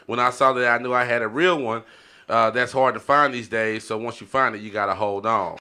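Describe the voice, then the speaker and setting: A man's voice: medium pitch, steady tone, fairly quick, bright, forceful tone, clean tone. A man co-hosting a podcast, chatting casually and colloquially with frequent conversational tags. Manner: colloquial, repeats "you know what I mean". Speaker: podcast co-host. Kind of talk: casual chat.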